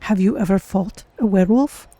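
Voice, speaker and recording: A streamer voicing a polite, curious cat-folk adventurer in a measured, formal manner while roleplaying a game. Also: medium pitch, mellow, soft background music, close to an everyday voice. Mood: composed, inquiring.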